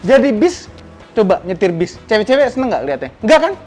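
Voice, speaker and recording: A man's voice: high voice, very animated, fairly quick, a little mellow, faint music behind. An Indonesian man hosting a car vlog, chatting casually and teasing his guest with short, clipped questions and replies. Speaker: car channel host. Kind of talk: casual chat, showing cars and questioning a guest.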